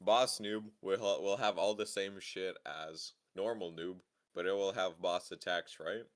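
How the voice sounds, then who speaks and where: A man's voice: low voice, steady tone, a little slow. A young man with a voice that sounds like a mafia boss, casually explaining code in slangy talk. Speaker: game developer. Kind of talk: casual chat.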